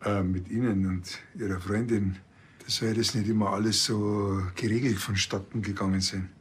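A man's voice: lowish voice, steady tone, moderate pace, husky. An actor playing a blunt, wry small-town policeman in a TV crime comedy, casual and curt, with a Bavarian accent.

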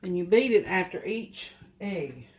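An older woman at home giving plain, unhurried step-by-step cooking instructions in her kitchen. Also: on the low side, animated, a little mellow. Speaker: home cook. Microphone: camera at the counter, off-screen speaker. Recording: noisy home recording. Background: mixer and kitchen clatter.

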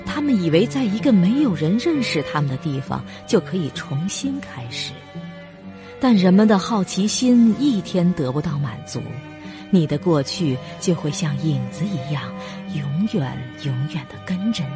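A woman's voice: lowish voice, very animated, moderate pace. An actress in a suspense radio drama playing a vengeful, sinister young woman narrating her family's tragedy. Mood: bitter, ominous. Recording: music behind the voice.

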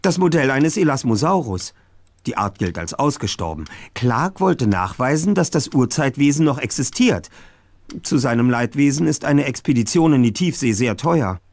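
An actor playing a precocious, analytical boy detective in an adventure game, speaking precisely and matter-of-factly with a husky voice. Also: medium pitch, big pitch swings, normal speed, a little mellow, dry recording. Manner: precise, articulate, matter-of-fact. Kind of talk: scripted game dialogue.

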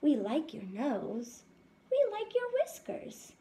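A woman plays a swarm of eager, stubborn bees from a storybook. The voice is high and swooping, with an emphatic singsong delivery. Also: huge pitch swings, takes it slowly, dark tone, heavily acted.

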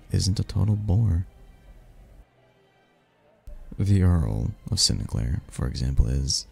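A man acting a deep, husky, charming masked stranger. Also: heavily acted. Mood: flirtatious. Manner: playful, flirtatious, hushed. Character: charming, teasing mysterious masked stranger courting a royal. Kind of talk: ASMR romantic roleplay.

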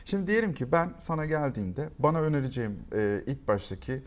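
A man's voice: medium pitch, sing-song, quick pace, a little hiss or hum. A man hosts an informal interview, asking questions in a colloquial, buddy-like way. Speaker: host interviewer. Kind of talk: casual chat, asking buyer questions.

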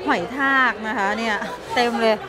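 A woman's voice: fairly high pitch, fairly even intonation, normal speed. A young woman, chatting casually as a food vlogger. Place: large indoor buffet restaurant. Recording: loud music and busy restaurant crowd. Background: music and crowd din.

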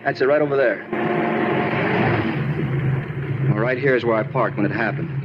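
A man plays a moody American murder suspect in a 1950s radio crime drama. He justifies himself insistently at a quick pace. The old recording is dull, with clear noise behind him. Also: mid-range voice, lightly acted. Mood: defensive. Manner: insistent, matter-of-fact about his crime. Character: moody, defensive young male murder suspect, self-justifying.

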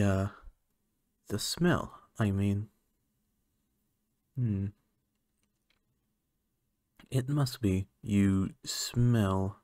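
Guy doing an asmr alien scientist, unhurried and soft, formal but a bit hesitant, very dry close sound. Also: lowish voice, dry recording. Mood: flustered, intrigued. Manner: measured, hesitant, formal clinical phrasing. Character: male alien scientist, clinical, curious, easily flustered. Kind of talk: ASMR roleplay, soft-spoken.

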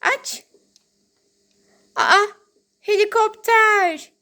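A woman's voice: very high-pitched, extremely slow, sharp tone. A woman hosting toy play for young children as a mother, talking in a sing-song, exclaiming way and drawing out her words.

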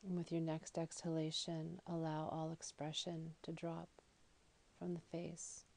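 A woman leading a guided meditation in a soothing, deliberate manner, with phrases that trail off softly. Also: sits low, completely flat delivery. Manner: soothing, slow and deliberate with soft, breathy phrase endings. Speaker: yoga nidra guide.

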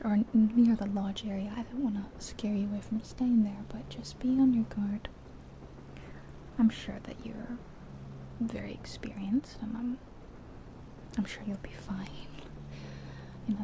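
A woman whispering softly and breathily in an ASMR roleplay as a friendly taxi driver, chatting gently and without hurry. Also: on the high side, low-quality recording. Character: friendly small-town taxi driver giving a guided ride. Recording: steady car and rain noise. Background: car sounds and rain.

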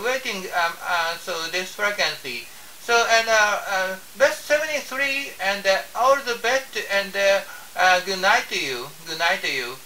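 A man with a Japanese accent works an amateur radio contact in halting, repetitive English. His voice is bright and sharp, sounding set back from the mic over a hissy shortwave link with light noise. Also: sits high, distant mic, rough recording. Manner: halting English, repeats phrases. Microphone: ham radio station microphone.

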